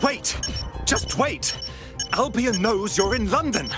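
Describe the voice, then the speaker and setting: A cool, sarcastic operative negotiates in a game cutscene. She speaks slowly and deliberately, with animated rises and falls and a wry, exasperated edge, over music. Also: a little high. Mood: urgent, persuasive. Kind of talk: dramatic game cutscene acting.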